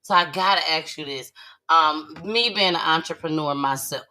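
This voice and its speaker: A woman's voice: deep voice, normal speed, sharp tone. A woman hosting a talk show in a casual, chatty style, repeating words for emphasis as she questions her guest. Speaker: talk show host, entrepreneur.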